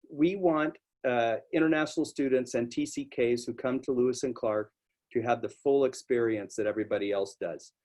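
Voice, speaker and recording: A man's voice: medium pitch, a little mellow. A man with a mid-range voice speaks as the director of a college office. He explains its work informally, as at an orientation for newcomers. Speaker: office director introducing staff.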